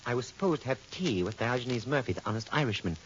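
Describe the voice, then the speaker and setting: A man's voice: medium pitch, animated, fast, lightly acted. An actor playing a flamboyant, genteel, affectionate male friend with florid, affected delivery in old radio drama style. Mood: airy, pleased. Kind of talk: 1940s-50s radio comedy-drama acting. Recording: muffled, faintly noisy old radio recording.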